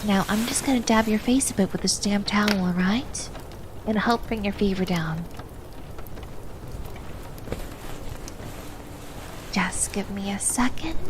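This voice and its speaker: A woman acts out a young maid sister in an ASMR roleplay, soft-spoken and ladylike. She teases and scolds playfully and gets flustered easily. She is close on the mic, with noticeable noise behind her. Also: mid-range voice, moderate pace, close to an everyday voice. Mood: gentle, nursing. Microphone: close binaural ear microphone. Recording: noticeable background noise, binaural ASMR microphone.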